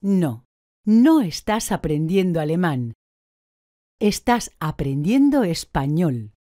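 A woman giving slow, deliberate, clearly articulated answers in a language course. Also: lowish voice, big pitch swings, mellow, dry recording, clean studio sound. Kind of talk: scripted drill answers.

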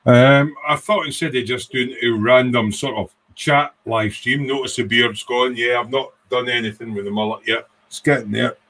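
Man in his sixties, Scottish accent, mid pitch, casual livestream host, rambling, informal. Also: fairly even intonation, normal speed, few pauses, a bit bright, low-quality recording. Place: small home workshop room.

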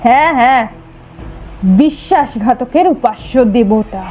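A woman's voice: on the high side, animated, slow, highs cut off, faint music behind. A woman voicing a fearless, vengeful male warrior chieftain in an audio story, grave and declamatory. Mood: stern. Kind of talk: audio story character acting.

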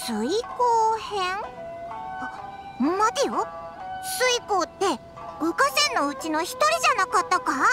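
Tiny floating fairy sidekick, cheeky and blunt, very high animated voice, loud music behind. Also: female voice, slow pace, heavily acted. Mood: curious. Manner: blunt, boyish, cheeky scolding speech. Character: small, brash, childlike floating fairy companion. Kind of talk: anime game cutscene acting.

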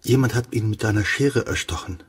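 An actor playing a grave, insistent investigator in a radio play, speaking in a sober, restrained and firm way. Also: male voice, on the low side, a little fast, breathy edge, distant mic.

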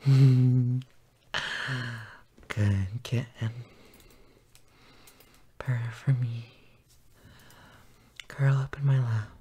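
A man speaks in a tender, intimate roleplay style, half-whispering with a gravelly, creaky edge. His delivery is slow and softly commanding, broken by low hums. Faint noise and small clicks sit behind the voice. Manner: tender, gently dominant, slow murmuring with soft hums. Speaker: audio roleplay performer.

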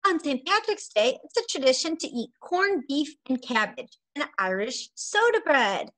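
A woman in her forties, a preschool teacher, reading a picture book aloud to young children in an expressive, sing-song manner. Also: high voice, normal speed, poor audio. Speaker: preschool teacher and story time host. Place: small indoor room at home.

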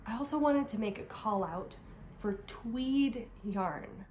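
A woman's voice: a little high, expressive, slow pace, a little mellow, a little hiss or hum. A young woman from Canada, recovering from a cold and losing her voice, chatting casually about crafts, rambling with frequent laughs and restarts. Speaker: crafting vlogger. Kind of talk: casual chat to camera.

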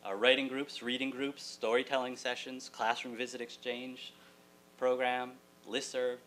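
Bright-voiced man giving a keynote, faint hum. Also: mid-range voice, flat delivery, a little slow. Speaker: keynote speaker, college writing teacher. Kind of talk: prepared conference address with joking asides. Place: lecture hall, at a podium. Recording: hum and room noise, distant camera.

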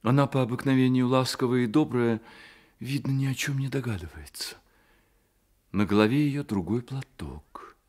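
A man narrating a literary radio drama as an expressive, measured storyteller. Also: medium pitch, close to an everyday voice. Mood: expressive storytelling. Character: storyteller of a boy's secret adventure.

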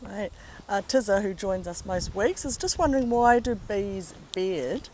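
Kiwi co-host reading out chat questions, mid-pitched, easygoing. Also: expressive, a little slow, a little mellow, slight background noise. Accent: New Zealand. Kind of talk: live stream question relay.

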